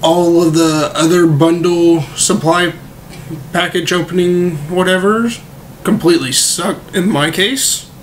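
A young man talks through his game in a slow, unhurried drawl, his slightly raised voice a little hoarse as if he is losing it. He sounds laid-back and a bit let down, and a faint hiss of background noise sits under the recording. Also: sits high. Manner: laid-back, mildly disappointed, drawn-out. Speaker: gaming video creator. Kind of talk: casual gameplay commentary.

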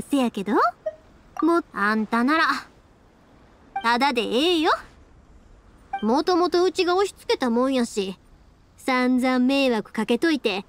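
A woman voicing a cheerful, warm-hearted young fireworks maker in an anime-style game, chatting expressively with a Kansai accent. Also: high voice, slight background noise, exaggerated voice. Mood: warm, playful. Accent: Kansai Japanese.

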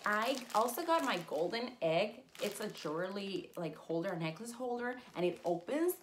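A woman's voice: medium pitch, moderate pace, a little hiss or hum. Chatty woman raised in Puerto Rico. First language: Spanish. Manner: chatty and animated, squealing with excitement, frequent laughs. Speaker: collector vlogger. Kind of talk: casual haul video chat. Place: collectibles-filled room indoors. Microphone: camera at desk distance.

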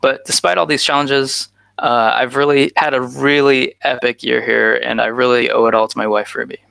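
Gravelly, bright-voiced man on a headset. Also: mid-range voice, level tone, moderate pace, no room sound. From United States. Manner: frequent "uh" fillers, earnest and informal. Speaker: guest speaker. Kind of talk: presentation over a video call.

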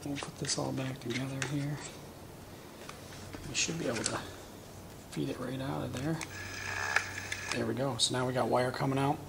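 Casual shop demonstrator, thirties, gravelly voice, lapel mic, noisy workshop background. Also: male voice, mid-range voice, steady tone, normal speed, long pauses. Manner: casual, talks while working with his hands. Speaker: welding equipment demonstrator. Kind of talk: hands-on product demonstration. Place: welding shop corner with curtain backdrop.

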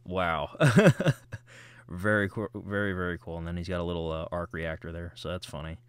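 A man's voice: low voice, normal speed, clear, resonant tone, no room sound. A man hosting a collectible unboxing video, chatting casually and rambling with lots of ums and small chuckles.

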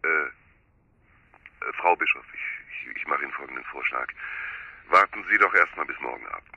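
An actor playing a condescending, muddled middle-aged chief inspector on the telephone in a crime radio drama. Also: male voice, very deep voice, lively intonation, brisk, breathy edge, lo-fi sound, heavily acted. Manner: dismissive, condescending, bumbling. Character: brusque, muddled police chief inspector brushing off a caller.

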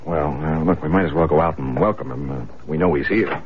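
A man's voice: extremely low pitch, brisk. A man playing an affable, sceptical young husband in a conversational, hesitant vintage radio drama manner. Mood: agreeable. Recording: muffled, noisy old radio broadcast.

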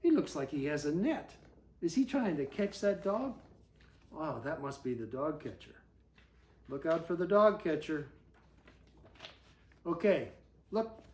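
An older man reads a picture book to a young child in a roomy, echoing space. His delivery is slow and very animated in pitch. He often steps out of the text with gentle questions and asides to the listener. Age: older, about 70s. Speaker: story reader. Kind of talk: animated storybook reading aloud to a small child. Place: living room armchair, indoors. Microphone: camera a few feet away.

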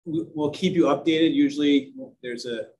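A man's voice: a little high, moderate pace, a little mellow, muffled recording, a little hiss or hum. Man speaking casually at a school meeting. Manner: conversational, loose with fillers. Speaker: school administrator. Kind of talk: meeting remarks.

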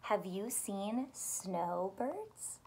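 A woman in her thirties reading a picture book aloud to children in an expressive, sing-song, teacherly way. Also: medium pitch, extremely slow, gravelly, slightly rough. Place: small furnished room indoors.

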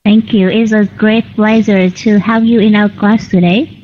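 A woman teaching an online English class, correcting students patiently and clearly. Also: mid-range voice, fairly even intonation, measured pace, a little hiss or hum. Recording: lo-fi online call audio.

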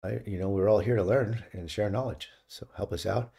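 Middle-aged American male host, dark husky voice, casual rambling monologue, home condenser mic. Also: on the low side, a little fast. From United States. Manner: rambling, opinionated, restarts often. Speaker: channel host. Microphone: studio condenser mic on stand.

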